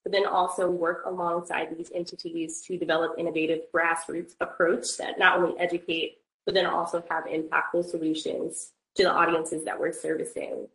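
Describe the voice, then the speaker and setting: A woman's voice: on the low side, flat delivery, moderate pace, few pauses, fairly bright tone. A woman answering as a panelist in an online discussion, recorded in a roomy, echoing space. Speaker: panelist, rideshare founder.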